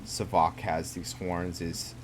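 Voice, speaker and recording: A man with a low voice narrates his roleplay game at a very slow, halting pace. He explains the lore casually, thinking aloud as he goes, with music playing behind him. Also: little pitch movement. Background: game music and game characters.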